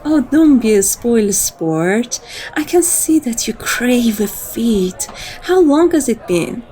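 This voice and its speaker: A woman's voice: fairly high pitch, big pitch swings, slow, warm tone. A woman playing a flirtatious, teasing female vampire in an intimate, coaxing ASMR roleplay. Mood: indulgent, teasing.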